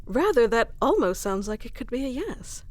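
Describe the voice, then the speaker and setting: A woman's voice: fairly high pitch, very animated, normal speed, a little mellow, dry recording. A woman voicing a devoted, lovestruck fantasy noblewoman in an ASMR romance roleplay, earnest and dramatic with formal storybook phrasing. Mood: teasing, delighted.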